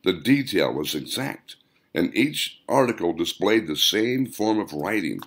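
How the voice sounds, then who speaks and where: A man reading a scripted story aloud as an audiobook narrator, with deliberate, dramatic emphasis. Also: deep voice, big pitch swings, a bit bright, husky. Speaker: author narrating own audiobook.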